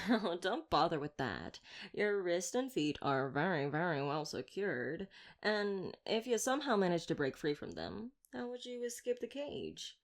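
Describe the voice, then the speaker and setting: A woman's voice: on the low side, very animated, unhurried. Woman doing a chatty flirty monster hunter agent, teasing and mock grand, voice swoops all over, asmr roleplay. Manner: wordy, playful, teasing, mock-grand delivery. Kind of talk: ASMR roleplay audio drama addressed to listener.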